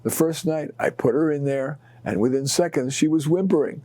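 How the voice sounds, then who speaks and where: A man reading his short stories aloud expressively, in deliberate short phrases with dramatic pauses. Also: a little high, warm tone, no echo. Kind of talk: expressive read-aloud storytelling.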